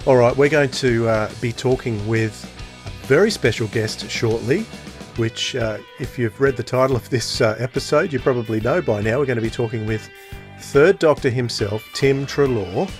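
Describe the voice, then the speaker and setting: A man's voice: medium pitch, moderate pace, faint music behind. A man in his forties with an Australian accent, co-hosting a podcast in casual, chatty speech. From Tasmania, Australia. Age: 40s. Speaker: podcast co-host.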